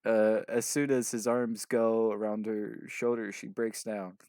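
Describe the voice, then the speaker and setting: A man's voice: fairly low pitch, little pitch movement, measured pace, darkish tone, clean tone, dry recording, clean studio sound. A man reading a story aloud casually, stumbling over sentences as he narrates. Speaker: fanfiction reader.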